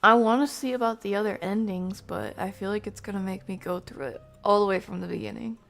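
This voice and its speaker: A woman's voice: medium pitch, brisk, creaky, a bit bright. A woman doing casual live game commentary as a streamer, jokey and slangy, with mild exclamations in place of swearing.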